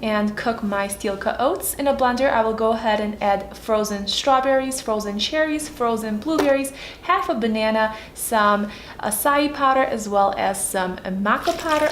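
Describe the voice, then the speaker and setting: A woman's voice: a little high, measured pace, roomy sound, slight background noise. Chatty woman with Polish-Chicago accent. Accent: Polish mixed with Chicago. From Poland. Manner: friendly, chatty, with fillers. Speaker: vegan lifestyle vlogger. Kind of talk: casual cooking vlog with voice-over.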